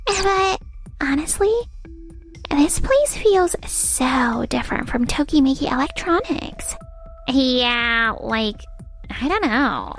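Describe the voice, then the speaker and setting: A woman playing a smug, spoiled young saleswoman in an animated manga dub, with dramatic casual phrasing. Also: high-pitched, takes it slowly, soft background music, heavily acted. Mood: casual, uneasy.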